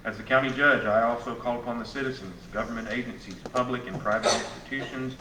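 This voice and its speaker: Texan man, county judge, plain procedural delivery, heard from afar in an echoey room with steady background hiss. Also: mid-range voice, fast talker. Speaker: county judge chairing the meeting. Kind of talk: chairing a public meeting. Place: county courtroom. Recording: echoey room, distant mic, steady noise.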